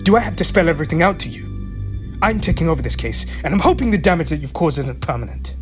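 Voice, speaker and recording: A man's voice: fairly high pitch, big pitch swings, quick pace, music in the background. A man playing a stern, domineering police chief and disapproving father in an audio drama, scolding and giving orders. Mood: angry, domineering. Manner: scolding, commanding.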